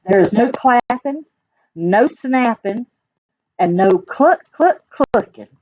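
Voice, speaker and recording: A woman's voice: a little high, expressive, takes it slowly, a little mellow, no room sound. A woman voicing a stern male farmer in a children's storybook, announcing rules emphatically. The recording is dull and muffled. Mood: stern, forbidding. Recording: dull muffled audio with background noise.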